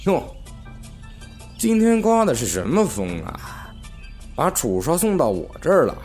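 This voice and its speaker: Casting: male actor as sneering crime rival, extremely animated pitch, mocking drawl, radio drama over faint music. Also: unhurried. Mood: mock welcome. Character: smug male gang rival, falsely genial and sneering. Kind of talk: audio drama acting.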